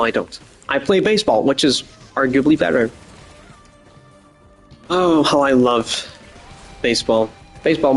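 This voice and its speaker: Young male podcast host, faint Boston accent, mid-pitched, rambling crude deadpan humor, soft music underneath. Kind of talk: casual solo podcast monologue.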